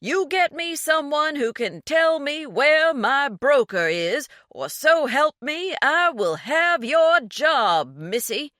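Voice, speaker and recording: Brief: a shouting, drawling blue-blood man on a phone call, slow and lordly, voiced by a woman reader. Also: medium pitch, very animated, no echo, studio quality, pitched above the performer's own voice, full character voice. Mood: furious. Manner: loud elongated drawl, condescending. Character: man bluffing as a snobby rich client.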